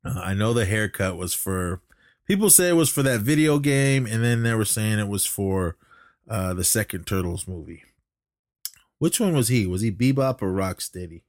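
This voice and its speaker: A man's voice: lowish voice, expressive, moderate pace, dry recording, studio quality. A middle-aged man co-hosting a podcast in casual chat, rambling and good-humoured, given to chuckling. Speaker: podcast co-host. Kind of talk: casual chat reviewing wrestling.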